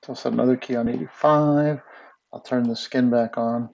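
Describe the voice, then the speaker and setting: Gravelly man talking through an animation tutorial. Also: medium pitch, normal speed, dull audio. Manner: relaxed, thinking aloud while working. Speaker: animation instructor. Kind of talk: screen-recorded software tutorial.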